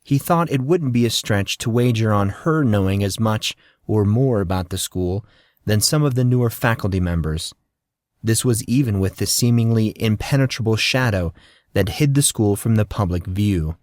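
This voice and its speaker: A man narrating a fantasy audiobook in steady, measured storytelling. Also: lowish voice, no echo, clean studio sound.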